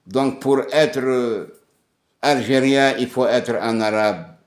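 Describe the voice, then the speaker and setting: Slow, emphatic man. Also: mid-range voice. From Kabylie, Algeria. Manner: emphatic, insistent, repeats words for stress. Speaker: political commentator. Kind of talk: impassioned political monologue. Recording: echoey room.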